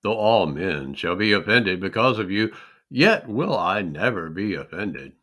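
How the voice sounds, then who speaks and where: A man's voice: low-pitched, big pitch swings, measured pace, pushed and bright, full character voice. A man voicing an earnest, fervently loyal disciple in a dramatic scripture reading, emphatic and insistent. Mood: fervent vowing. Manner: emphatic, vowing.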